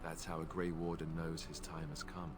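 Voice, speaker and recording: A man's voice: rumbling low, flat delivery, normal speed, music in the background. An actor voicing a young male warrior in a video game, who is joking and self-deprecating with a dry, wry humor. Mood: somber.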